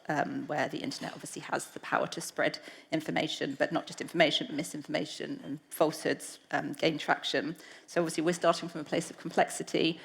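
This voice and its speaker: Low, gravelly woman curator. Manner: measured, deliberate, with frequent filler ums. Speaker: museum curator. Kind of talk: panel discussion opening.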